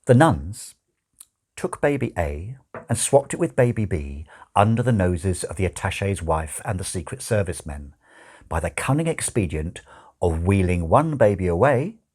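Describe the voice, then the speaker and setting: A man narrating a comic novel as an audiobook, with wry, expressive storytelling. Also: low-pitched, unhurried, husky. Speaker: audiobook reader.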